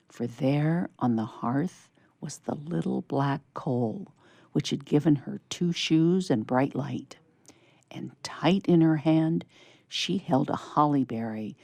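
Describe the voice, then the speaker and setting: A woman narrates a children's story, reading aloud to young listeners. Her voice is low and soft-edged, with some fry, recorded close and dry. The delivery is measured and scripted. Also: no echo. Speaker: story reader.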